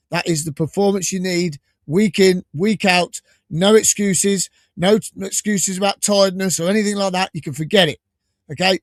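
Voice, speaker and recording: Excited man hosting live. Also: a little high, no echo, studio quality. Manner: enthusiastic, rambling, laughs often. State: slightly croaky with a cold. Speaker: podcast host. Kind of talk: live fan podcast chat.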